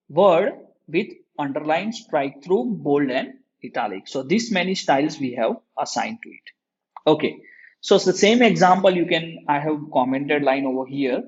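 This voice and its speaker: A man with an Indian accent lecturing through a software tutorial step by step, often checking in with short tag questions. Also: fairly high pitch. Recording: dull lo-fi microphone sound.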